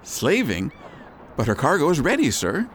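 A man's voice: fairly high pitch, huge pitch swings, moderate pace, fairly bright tone, slight background noise. A man playing a polite, loyal shipping clerk in a radio drama, courteous and eager to please. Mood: deferential.

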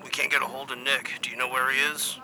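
An actor playing a gruff, urgent police captain in an audio drama, with a gravelly voice and terse delivery. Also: male voice, mid-range voice, level tone, fast talker, bright, forceful tone, low-quality recording.

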